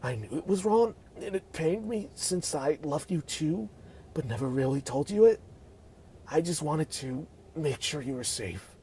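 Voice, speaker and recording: Man acting a mellow, regretful dying boy. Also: on the high side, animated. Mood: regretful, tender. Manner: pained, halting delivery. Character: dying, regretful teenage boy confessing tenderly. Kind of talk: amateur dramatic script read. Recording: noisy home microphone recording.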